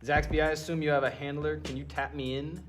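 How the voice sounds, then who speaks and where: A man's voice: on the high side, little pitch movement, fast, soft background music, lightly acted. A man playing an impatient, coolly arrogant genius doctor who speaks with dry, pompous precision in an audio drama.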